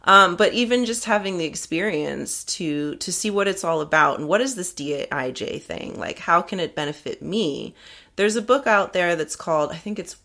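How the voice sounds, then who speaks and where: A woman's voice: fairly low pitch, lively intonation, moderate pace, creaky, pushed and bright. A woman, a climbing guide, talking frankly and earnestly in a casual interview, laughing easily. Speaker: climbing guide and podcast guest.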